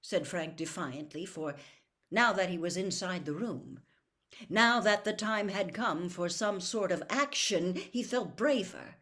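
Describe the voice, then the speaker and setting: A woman storyteller reading a novel aloud in dramatic, expressive narration, building suspense with hushed emphasis. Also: fairly low pitch, unhurried.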